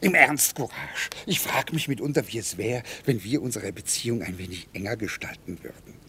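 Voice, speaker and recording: An actor in a classic German radio play, playing a self-important, earnest army chaplain who speaks in preachy, declamatory rhetoric with a husky, gravelly voice. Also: male voice, medium pitch, moderate pace, pushed and bright, slight background noise. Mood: earnest, wooing.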